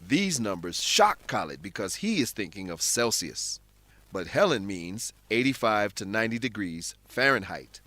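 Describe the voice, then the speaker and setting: A man's voice: lowish voice, big pitch swings, moderate pace, bright, forceful tone, no echo. A man giving scripted educational narration as a host and English teacher, in a didactic, carefully enunciated manner.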